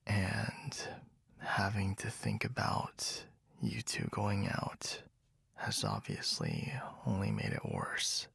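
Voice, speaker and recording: A young man voicing a jealous, possessive best friend in a whispered roleplay, speaking low and breathy with frequent sighs, close to the microphone. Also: fairly bright tone, no room sound, full character voice. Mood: confessing. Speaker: ASMR roleplay voice actor. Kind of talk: whispered ASMR boyfriend roleplay.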